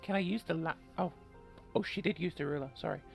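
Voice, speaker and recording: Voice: medium pitch, fast, gravelly, soft background music. A self-deprecating, apologetic game player giving informal let's play commentary and reading story text aloud. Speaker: gaming video host.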